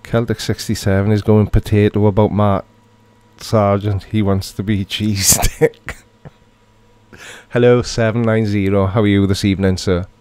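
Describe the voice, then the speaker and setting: Welsh middle-aged male streamer, lowish voice, joking and chatty, dry close sound. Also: level tone, normal speed, darkish tone, clean tone, no room sound. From Wales. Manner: chatty, joking, reads viewer comments aloud. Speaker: livestream host. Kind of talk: casual live chat reading comments.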